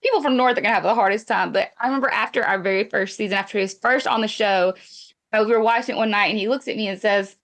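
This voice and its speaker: A woman's voice: mid-range voice, a bit bright. Candid Southern woman in a podcast interview. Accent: Southern US (Mississippi). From Mississippi, USA. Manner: candid, conversational, halting restarts. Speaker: reality TV guest. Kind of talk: casual interview chat.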